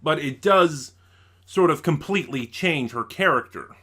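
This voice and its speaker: A man's voice: on the high side, expressive, a little slow, fairly bright tone. A man giving a casual spoken review as an online host, thinking aloud and often correcting himself. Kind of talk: casual spoiler review of an audio drama.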